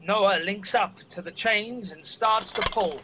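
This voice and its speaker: A man's voice: high-pitched, unhurried. A teenage boy giving scripted narration for a school radio play. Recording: clearly noisy background ambience.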